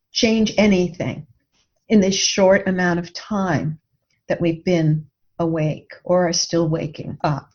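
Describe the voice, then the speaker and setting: A woman's voice: mid-range voice, darkish tone. A woman speaking as an interview guest in an earnest, reflective way, telling personal stories. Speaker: podcast guest, writer and coach. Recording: dull lo-fi audio.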